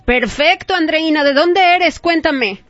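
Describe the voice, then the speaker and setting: Upbeat high-voiced woman radio DJ. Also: a little slow, a bit bright, dry recording. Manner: upbeat, chatty, playful giveaway patter. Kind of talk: live call-in radio chat. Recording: slightly dull radio broadcast with faint music.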